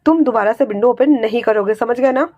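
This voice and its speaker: Lady doing a strict but caring older guy, low and calm, scolding gently. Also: fairly quick, dry recording, muffled recording, natural voice. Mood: stern, soothing. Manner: scolding, calming, firm. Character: protective older man, stern but caring.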